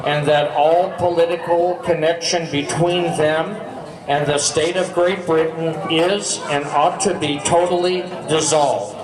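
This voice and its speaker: An American man giving a formal public recitation in deliberate, halting phrases. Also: on the high side, steady tone. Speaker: speaker at a public event. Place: public gathering in a park. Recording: echoey, distant mic amid crowd noise.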